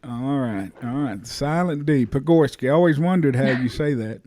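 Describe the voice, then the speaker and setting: A man's voice: medium pitch, moderate pace, no room sound. Dry-sounding male podcast host. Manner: relaxed, hesitant with fillers and repeats. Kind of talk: casual interview.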